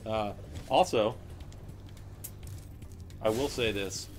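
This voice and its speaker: A man's voice: fairly low pitch, extremely slow, faint music behind. A man co-hosting a studio game livestream, chatting casually and joking in loose banter. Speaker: stream co-host.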